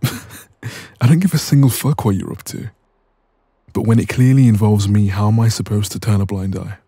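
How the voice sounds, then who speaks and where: A man playing a dominant, cocky young ex-boyfriend in an intimate audio roleplay, soft and breathy, smug and casual, close to the microphone. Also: on the low side, moderate pace, dry recording. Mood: irritated, confrontational.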